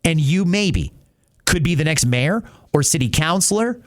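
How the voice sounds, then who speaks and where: A man's voice: fairly high pitch, lively intonation, normal speed. A middle-aged man hosting an opinionated talk show in an emphatic, conversational way. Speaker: podcast host. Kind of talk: opinionated talk radio commentary.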